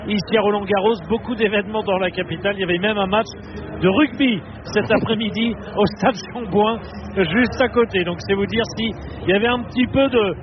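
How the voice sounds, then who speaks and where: High-voiced man on air outside a stadium. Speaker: radio presenter. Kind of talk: live radio commentary. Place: outdoors near a stadium. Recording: dull audio with outdoor noise.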